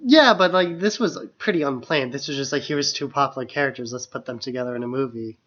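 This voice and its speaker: A man's voice: medium pitch, quick pace, a bit bright. A man co-hosting a podcast in a casual, conversational chat about film. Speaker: podcast co-host. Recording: slightly dull, lo-fi podcast audio.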